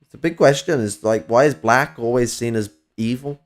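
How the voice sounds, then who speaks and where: A man's voice: medium pitch, moderate pace. A man with a Northern English accent chatting casually about politics on a stream, rambling, swearing a lot and restarting his sentences, with a slightly whiny voice. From Northern England. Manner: rambling, swears often, many fillers and restarts.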